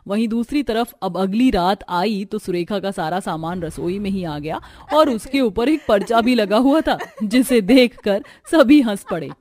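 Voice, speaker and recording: A woman's voice: medium pitch, lively intonation, unhurried, few pauses, darkish tone. A woman narrating a scripted family cartoon story.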